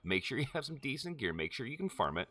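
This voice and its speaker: A man casually narrating a game tutorial, chatty and friendly, checking in with quick asides. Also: sing-song, pushed and bright. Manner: chatty, jumps high in pitch for quick check-ins. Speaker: gaming tutorial host.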